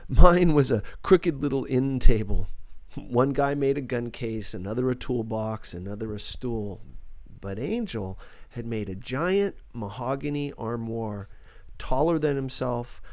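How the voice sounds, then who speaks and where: A man's voice: mid-range voice, a little mellow, dry recording. A man reading a first-person novel aloud as a scripted audiobook narration.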